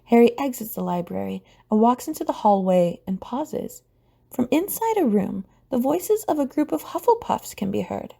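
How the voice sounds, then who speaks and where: A woman reading scripted story narration for an audio drama in a mellow, husky voice. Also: on the high side, big pitch swings, normal speed.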